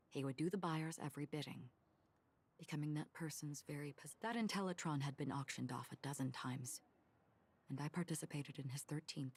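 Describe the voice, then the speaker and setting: An actress playing a calm, world-weary wanderer in a video game, telling of her travels in measured, solemn storytelling. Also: deep voice, husky. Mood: solemn, melancholy. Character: calm, solemn, world-weary wandering woman recounting what she has seen. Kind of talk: scripted video game dialogue. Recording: music behind the voice.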